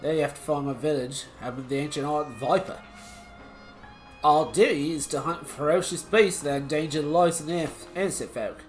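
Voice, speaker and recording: A streamer voicing a rough male monster hunter in an Australian accent, with a folksy, slangy drawl. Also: medium pitch, steady tone, normal speed, soft background music, natural voice. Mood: easygoing. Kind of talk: reading game dialogue aloud on stream. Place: home streaming setup.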